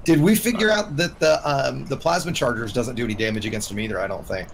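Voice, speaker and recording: A man's voice: medium pitch, quick pace, gravelly. A man from the United States chatting casually as a live streamer while playing a game. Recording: noisy gaming voice chat.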